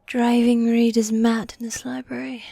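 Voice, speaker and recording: A woman playing a teasing, needy yet dominant goth demon girlfriend in a fantasy ASMR roleplay, soft and breathy, often whispering, close to the mic. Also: fairly high pitch, flat delivery, moderate pace, no echo. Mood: weary, mildly exasperated. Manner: teasing, coy, affectionate, with sighs. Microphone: close, dry microphone.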